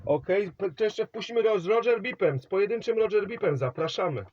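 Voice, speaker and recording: A man on a CB radio contact, rambling on about his setup and calling out signal reports. His voice sits a little high. The sound is dull and lo-fi with a faint hiss underneath. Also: lively intonation, fairly quick, a little mellow. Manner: rambling, calls signal reports and hands over the mic. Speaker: CB radio operator.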